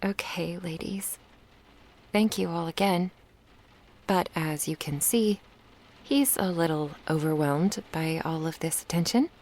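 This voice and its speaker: A woman playing a lovestruck, lonely witch in an ASMR roleplay, soft-spoken, whispery and seductive in a tender, intimate way. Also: lowish voice, rough recording. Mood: grateful, fond. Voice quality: breathy, whispery. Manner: slow, tender, intimate delivery.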